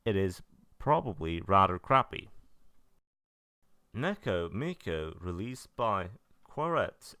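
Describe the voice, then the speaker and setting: Low-voiced man narrating reviews, pitch moving around. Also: fairly bright tone, no echo, studio quality. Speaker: video narrator reviewing visual novels. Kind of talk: semi-scripted review narration.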